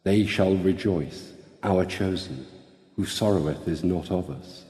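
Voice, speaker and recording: Deep, slow man in long reverb. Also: dark tone. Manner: slow, grave, deliberate. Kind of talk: solemn dramatic reading of scripture.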